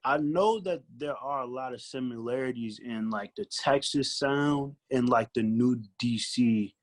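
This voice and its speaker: Podcast guest: American male, average pitch, relaxed slangy phrasing, conversational. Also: a little slow. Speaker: guest recording artist. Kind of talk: casual chat.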